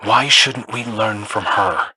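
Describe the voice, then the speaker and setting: A man's voice: lowish voice, slow, bright, forceful tone, husky, no room sound, full character voice, rough recording. An actor playing a brooding, earnest young male warrior with dry wit in a video game.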